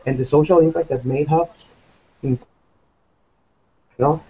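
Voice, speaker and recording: Halting man from Costa Rica, lo-fi call. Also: a little high, fairly even intonation, dark tone, slightly rough. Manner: halting, searching for words. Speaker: student guest. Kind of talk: hesitant question in a video hangout. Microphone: video call. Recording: dull lo-fi video call audio.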